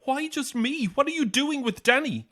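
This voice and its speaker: A woman's voice: high-pitched, lively intonation, moderate pace, a bit bright. An actress playing a frightened but defiant young woman trapped in a house, in a naturalistic horror audio drama. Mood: tense, questioning.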